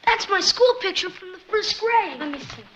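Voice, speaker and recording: A woman's voice: very high voice, lively intonation, normal speed, bright, forceful tone, a little hiss or hum, heavily acted. An actress playing a worried young girl who pleads and questions nervously. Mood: anxious. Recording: film score behind.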